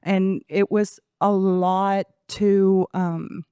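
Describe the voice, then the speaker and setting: Venezuelan woman with a smooth voice. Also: mid-range voice, flat delivery, very slow, warm tone, no room sound. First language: Spanish. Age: 40s. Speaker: podcast guest. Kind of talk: conversational interview.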